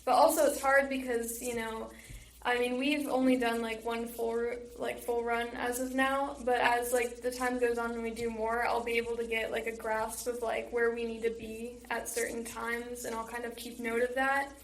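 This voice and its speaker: A woman's voice: on the high side, little pitch movement, moderate pace. A teenage girl answering casually in an interview, peppering her sentences with "like". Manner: heavy use of "like". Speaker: student stage manager. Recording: roomy echo, faint background noise.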